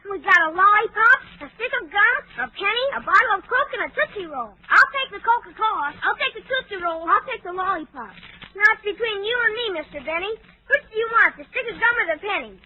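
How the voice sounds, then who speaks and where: Cheeky young boy, extremely high voice, blunt, eager, 1940s radio comedy, thin phone-like old recording. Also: expressive, brisk. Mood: eager, bargaining.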